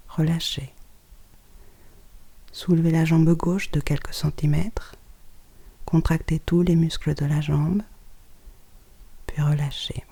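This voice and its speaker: A yoga nidra guide giving calm, soft-spoken instructions in short phrases with pauses, often close to a whisper. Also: medium pitch. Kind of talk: guided relaxation meditation, scripted instructions.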